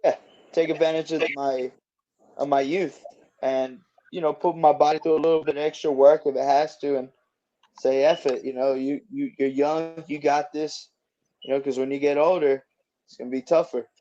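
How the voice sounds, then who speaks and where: A man's voice: fairly high pitch, steady tone, moderate pace, dark tone, dull audio. A man in his twenties, a boxer guest, chatting casually in an easygoing, rambling way with lots of fillers. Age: 20s. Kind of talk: casual interview chat.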